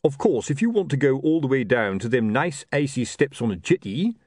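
A man's voice: mid-range voice, big pitch swings, brisk, a little mellow, no room sound, studio quality, pitched above the performer's own voice. Man doing a blustering lazy watch sergeant. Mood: bossy.

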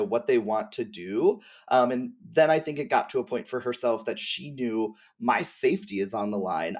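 A man speaking as a podcast guest in casual, halting, informal conversation about game lore, with slang and some swearing. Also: mid-range voice, a little mellow.

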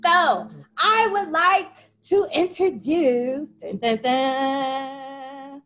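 A woman's voice: high-pitched, extremely slow, a bit bright. A woman hosting a casual interview show as a life coach, chatty and upbeat, often checking in with "okay?" and laughing easily.

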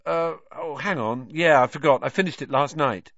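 A man's voice: on the high side, normal speed, fairly bright tone, dry recording, dull audio, voice pushed up. A man voicing a guarded, hesitant young schoolboy in an audiobook, with a plain defensive manner. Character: guarded young schoolboy making hasty excuses.

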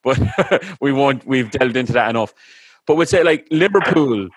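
A chatty Irish man talking on a podcast, rambling along with plenty of fillers. His voice sits at an ordinary middle pitch. The sound is dry and close, with no room in it. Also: animated, normal speed, breathy edge, no echo. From Ireland. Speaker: podcast host. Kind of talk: casual chat.

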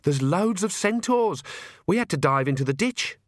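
Storybook role: sensible young witch played by a man, pitched up, sharp-tongued, matter-of-fact and quick to protest. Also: animated, no echo, clean studio sound. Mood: reproachful.

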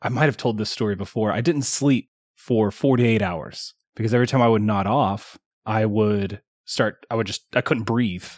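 A man's voice: fairly low pitch, moderate pace, hardly any pauses, no echo, clean studio sound. A man co-hosting a casual podcast, recovering from a chest cold, rambling through a story and chuckling often. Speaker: podcast co-host. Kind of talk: casual chat.

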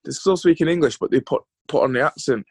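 A man's voice: fairly high pitch, quick pace, gravelly, slightly rough, no echo. A male podcast host talks in easy banter with plenty of slang. His voice is recorded dry and close, with no room sound. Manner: laid-back banter with slang. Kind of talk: casual chat.

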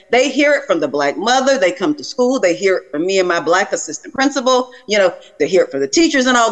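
A woman's voice: a little high, a bit bright. A woman, a school principal, speaking passionately on a podcast and punctuating her points with "okay?". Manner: emphatic, keeps asking "okay?". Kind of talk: impassioned podcast talk.

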